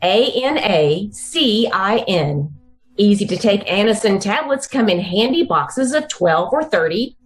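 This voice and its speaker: A woman announcer reading a scripted advertisement in 1950s radio style, with emphatic, clearly phrased salesmanship. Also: medium pitch, animated, unhurried. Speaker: commercial announcer.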